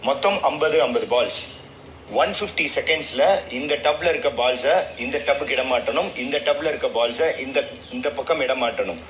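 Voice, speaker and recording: A man's voice: medium pitch, moderate pace, fairly bright tone. A man, a reality show contestant explaining and counting down a timed game task. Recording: clearly noisy room, ambient sound.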